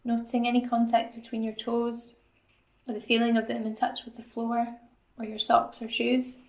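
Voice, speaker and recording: A woman guiding a mindfulness body scan in a calm, gentle, instructional manner, like a psychologist leading an exercise. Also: fairly high pitch, moderate pace, reverberant. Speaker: assistant psychologist guiding a meditation.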